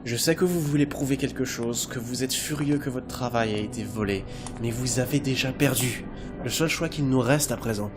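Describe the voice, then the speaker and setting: A man's voice: medium pitch, normal speed, close to an everyday voice. A man playing a polite, resigned android caretaker in an audio drama, speaking formally and patiently. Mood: firm, resolute. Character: polite, resigned male android governess and student. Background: music.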